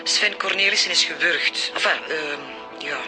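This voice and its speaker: An actress with a Belgian Dutch accent playing a breezy forensic expert in a radio drama, explaining findings in a familiar, wry way. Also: female voice, fairly low pitch, moderate pace, music in the background, lightly acted. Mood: wry, brisk.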